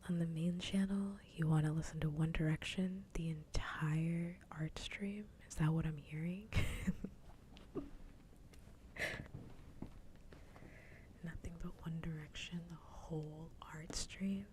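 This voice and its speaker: Soft, low, half-whispering woman streamer. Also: steady tone, normal speed, few pauses, a little hiss or hum. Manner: casual, rambling, chatting with viewers. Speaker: livestream host. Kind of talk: soft-spoken ASMR chat stream. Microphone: close ASMR microphone.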